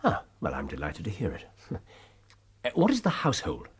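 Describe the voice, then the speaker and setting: Brilliant British detective, radio drama, husky edge, hugely animated pitch, theatrical, probing, imperious. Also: male voice, normal speed, heavily acted. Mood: probing, courteous. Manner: incisive, theatrical, probing, sardonic.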